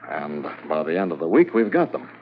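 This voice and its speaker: An actor playing a steady, cool-headed Mountie sergeant who speaks in an understated way, in 1950s radio drama style. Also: male voice, low-pitched, fairly quick. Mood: calm. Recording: dull lo-fi 1950s radio transcription with hiss.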